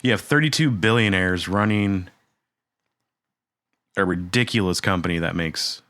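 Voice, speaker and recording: A man's voice: deep voice, a little slow, a bit bright, no room sound. A man co-hosting a casual podcast, making jokey asides. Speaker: podcast co-host. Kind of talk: casual chat.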